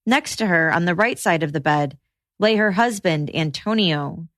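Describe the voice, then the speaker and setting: A woman reading scripted true-crime podcast narration in a measured, matter-of-fact way. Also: fairly low pitch, animated, fairly bright tone, no room sound, studio quality.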